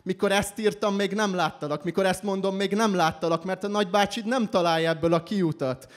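A young man from Hungary reciting slam poetry live with an emphatic, dramatic delivery. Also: high voice. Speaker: slam poet performing.

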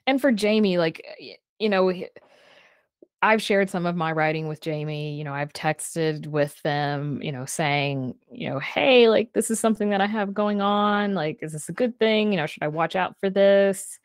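A woman with a fairly low voice chats casually as a guest on a livestream. She is animated and informal, dropping in conversational fillers often. The sound is close and dry, from a video call. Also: moderate pace, clean tone, no room sound. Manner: animated, informal, peppered with "like" and "you know". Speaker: guest, writer and podcast creator. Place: small book-lined room indoors, on a video call.

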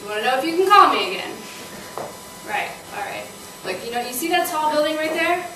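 A woman performing a comedic stage monologue as an anxious, volatile first date, halting and stammering. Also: high voice, big pitch swings, sharp tone, full character voice. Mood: outraged. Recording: noisy, echoey stage, distant mic.

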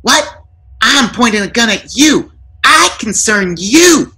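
Man acting out a loud, exasperated burglar, high-pitched. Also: animated, takes it slowly, exaggerated voice. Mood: snide, indignant. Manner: yelling, indignant outbursts. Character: loud, exasperated male burglar, snide and aggressive.

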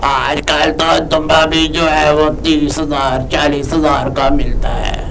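A young, vain female cow puppet, fretful and full of whining protest. The voice drags along slowly with a gravelly creak, picked up a little far from the mic with faint noise behind. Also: fairly high pitch, little pitch movement, fairly bright tone. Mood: indignant. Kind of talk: comic children's puppet show. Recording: distant miking with faint noise.

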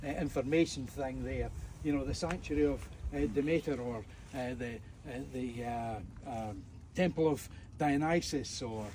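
A man's voice: mid-range voice, normal speed, few pauses, a little mellow. A man with a Scottish accent preaching conversationally to a tour group outdoors, with jokes and fillers. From Scotland. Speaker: evangelist. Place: outdoors at ancient ruins. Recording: distant mic outdoors, faint background noise.

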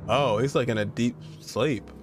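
A man's voice: mid-range voice, a little slow. A young man casually reacting live to game cutscenes, slangy and full of awed exclamations, with game music behind him. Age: young adult.

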